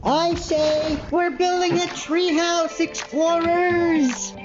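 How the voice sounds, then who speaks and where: A woman's voice: extremely high pitch, steady tone, slow. A woman voicing a cheerful, childlike iguana puppet in a children's show, with a bouncy, exaggerated delivery. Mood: bubbly, excited. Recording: dull lo-fi clip with music.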